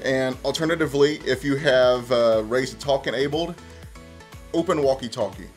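A man's voice: medium pitch, normal speed, soft background music. A man in his thirties presenting a how-to tutorial in a conversational, step-by-step way. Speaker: tech presenter. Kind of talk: casual how-to tutorial narration.

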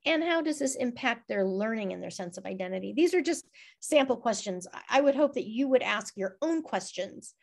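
Gravelly-voiced woman leading a teacher workshop. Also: a little high, expressive, a little fast. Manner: conversational, frequent "um" and "right?". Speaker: workshop presenter. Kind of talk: online teacher-training talk. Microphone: video call.

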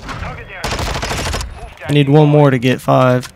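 A young man with a slightly nasal voice from a cold, casually commenting on his own gameplay, muttering one moment and shouting excitedly the next. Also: on the high side, very slow, background noise. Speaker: gaming streamer. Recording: voice over loud gunfire game audio.

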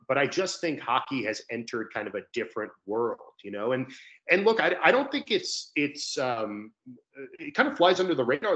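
A young man talks as an interview guest on a video call, in an average-pitched voice. He is earnest and emphatic, making his case conversationally with rhetorical questions. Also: fairly bright tone, muffled recording. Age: young adult. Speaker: sports radio play-by-play broadcaster, guest. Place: indoor room at home, video call. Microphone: video call, close to webcam.

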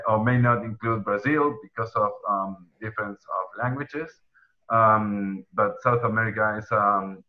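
A man's voice: on the low side, highs cut off. A young man from Argentina with an Argentine Spanish accent, moderating a webinar panel over a video call, full of ums and restarts. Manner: hesitant, many ums and restarts. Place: indoor room at home. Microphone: headset earphones on video call.

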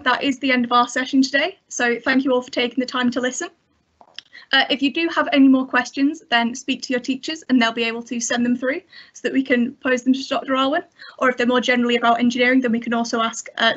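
A woman's voice: on the high side, steady tone, quick pace, a bit bright, lo-fi sound. A young woman hosting an online event, asking questions and reading friendly closing announcements over a headset on a video call. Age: young adult. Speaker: host. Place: indoors in a bedroom.

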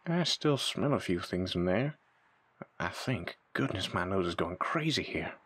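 A man's voice: deep voice, lively intonation, a little slow, a bit bright, slightly rough, no room sound, exaggerated voice. Man acting young shifter sidekick, flippant, joking, irreverent, close dry sound, audio drama. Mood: wry.

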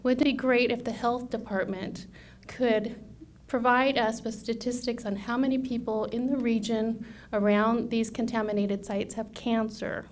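Brief: woman, 30s, conference speaker, soft voice, persuasive and lively, podium mic in a meeting room. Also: fairly high pitch, normal speed, a little hiss or hum. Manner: energetic, persuasive, rhetorical questions. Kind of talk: conference presentation. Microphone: podium microphone.

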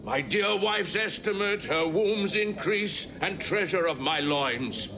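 Dignified, slow-speaking Roman elder statesman. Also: sits high. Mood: earnest pleading. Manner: earnest, formal, oratorical. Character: dignified, patriotic Roman ex-consul, earnest. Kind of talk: classical Shakespearean stage acting. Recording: crowd noise behind.

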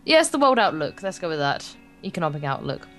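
A slightly low-voiced woman reads a visual novel aloud as a Let's Play host. She is informal and jokey, tripping over the text at times, with faint guitar music behind her. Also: big pitch swings, brisk, fairly bright tone. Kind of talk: reading game text aloud with casual banter.

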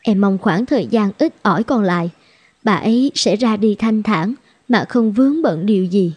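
A woman's voice: mid-range voice, lively intonation, moderate pace, a little mellow, husky. A woman playing an earnest, emotive young nurse and mother, tender and sorrowful, in a cartoon drama. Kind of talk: dramatic cartoon dubbing in Vietnamese.

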